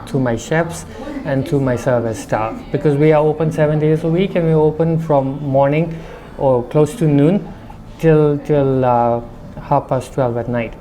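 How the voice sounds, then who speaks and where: A middle-aged man who runs a restaurant, giving conversational interview answers and explaining things at length, with a light accent. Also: on the high side. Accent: slight Indian-English with foreign lilt. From India. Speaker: restaurant owner.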